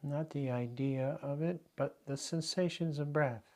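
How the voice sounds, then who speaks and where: A man's voice: on the high side, steady tone. Man leading a guided meditation. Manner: gentle, unhurried instruction with pauses. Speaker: meditation teacher.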